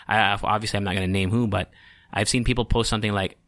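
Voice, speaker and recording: Chatty Filipino man, bright and dry. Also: on the low side, fairly even intonation, quick pace, no room sound. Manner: rambling, chatty, self-deprecating; mixes in Tagalog words. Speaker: podcast host. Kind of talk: casual solo podcast monologue.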